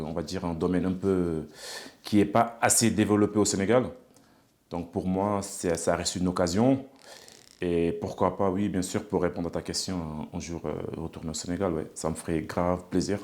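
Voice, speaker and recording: A man in his thirties from Senegal who works as an elevator technician, speaking haltingly in a documentary interview and stuttering on small words. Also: low-pitched, a little mellow. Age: 30s.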